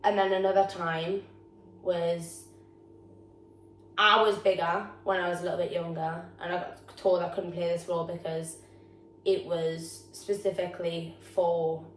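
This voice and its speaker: A young Geordie woman talks casually and bluntly, with a fairly low voice. She sits back from the mic in an echoey room, with a faint hiss behind her. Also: flat delivery, unhurried, distant mic. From North East England. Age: young adult. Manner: chatty, frank, swears freely. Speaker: drama student podcast co-host. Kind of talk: casual chat.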